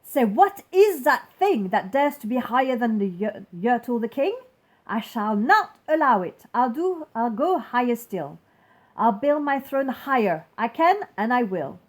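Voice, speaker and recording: A woman plays a self-important storybook turtle king who rules by bellowing. The voice leaps high and swings up and down with every boast. The manner is bossy and grandly imperious. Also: big pitch swings, a little slow. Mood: indignant.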